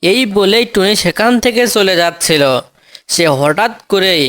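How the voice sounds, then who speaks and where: High-pitched male storybook narrator. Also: a little slow, a bit bright. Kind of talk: scripted children's story narration.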